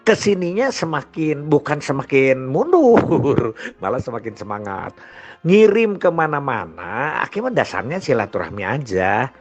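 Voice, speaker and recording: An older man with a Sundanese-region Indonesian accent tells his recollections in an informal, rambling monologue. His voice sits at a middle pitch. The recording is somewhat dull and lo-fi, with faint music behind him. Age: older, grandfather. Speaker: storyteller.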